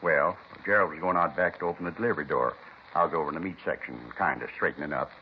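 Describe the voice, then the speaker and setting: An actor playing an earnest, simple, good-natured butcher in a 1950s radio police drama, plain-spoken with folksy, ungrammatical speech. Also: male voice, extremely low pitch, quick pace. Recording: muffled, hissy 1950s radio recording.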